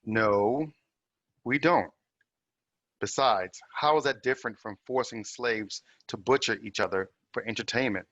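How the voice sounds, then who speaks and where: A man reading the part of a proud, plain-spoken young man in a measured, direct way at a table read. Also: medium pitch, a bit bright, dull audio. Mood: defiant, questioning. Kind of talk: film script table read.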